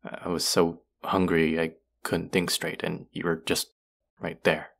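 Guy acting as a young vampire dude in an audio roleplay, really low n creaky, earnest n kinda wry. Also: flat delivery, unhurried, exaggerated voice. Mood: remorseful, weary. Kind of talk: intimate audio roleplay drama.

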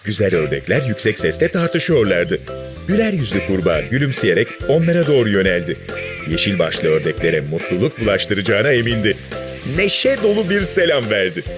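A man's voice: fairly low pitch, sing-song, brisk, music in the background. A man narrating a scripted story for children.

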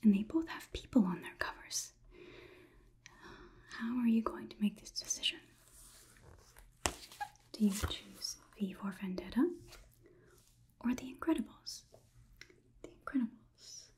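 A young woman playing a polite, curious, clinical robot overlord in a whispered ASMR roleplay, with measured, formal delivery. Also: mid-range voice, animated, darkish tone, natural voice. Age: young adult. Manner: slow, measured, deliberate, formal wording. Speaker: ASMR performer.

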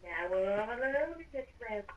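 A woman with a fairly high voice improvises game roleplay. Her delivery is playful and teasing, with pitch that swings widely. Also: lively intonation, normal speed, dull audio, a little hiss or hum. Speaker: player roleplaying on a Minecraft server.

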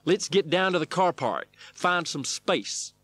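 A man voicing a brisk, folksy stunt coordinator with an emphatic, enthusiastic manner in a memoir reading. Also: a little high, animated, a bit bright, dry recording, voice pushed up. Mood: brisk, hearty. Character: enthusiastic American male stunt coordinator, brisk cowboy wrangler.

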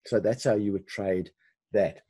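An older man hosting a live webinar, giving unscripted commentary in a halting way with repeated words and restarts, his voice husky. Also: lowish voice, steady tone, warm tone, no room sound. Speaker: webinar host teaching forex chart analysis.

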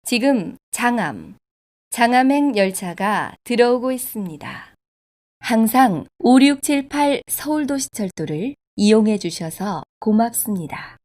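A woman reading scripted subway station announcements in a formal, polite, measured way. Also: on the high side, very animated, a little mellow. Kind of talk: scripted public transit announcement.

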